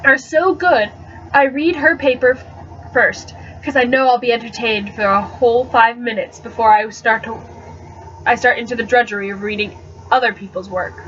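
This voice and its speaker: A woman's voice: fairly high pitch, fairly even intonation, normal speed, a bit bright, natural voice. A woman voicing an amused middle-aged father who teaches college and tells anecdotes, in a storybook reading on a dull webcam recording. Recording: dull, slightly noisy webcam audio.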